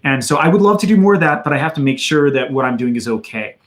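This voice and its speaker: A man's voice: on the high side, lively intonation, quick pace. A man in his thirties from Wisconsin with an American accent, chatting casually as he answers viewer questions on his livestream. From Madison, Wisconsin, USA. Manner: chatty and loose, many fillers, reads out viewer questions. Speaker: channel host answering chat questions.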